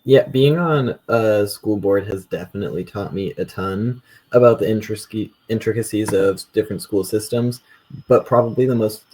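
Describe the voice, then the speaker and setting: A man's voice: fairly low pitch, darkish tone, husky, poor audio. A young man speaking as a guest in a conversational panel discussion. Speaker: student school board member, podcast guest.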